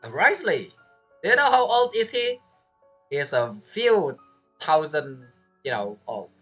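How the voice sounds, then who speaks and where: A man's voice: fairly high pitch, very animated, a little slow, a bit bright, breathy edge, faint music behind. A man giving casual, playful reaction commentary on a video game story, chiming in informally.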